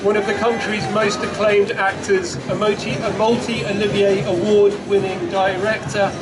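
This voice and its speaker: A man's voice: high-pitched, flat delivery, normal speed. A man hosting a public event, announcing the programme and speakers to the gathering. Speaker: event host introducing speakers. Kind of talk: rally announcing. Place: outdoor city square rally. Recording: distant outdoor PA, music and crowd. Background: music and crowd.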